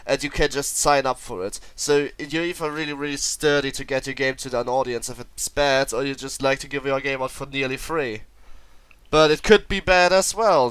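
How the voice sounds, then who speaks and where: A man's voice: medium pitch, a bit bright, clear, resonant tone, no room sound. A man from Germany, chatting casually as co-host of a gaming podcast.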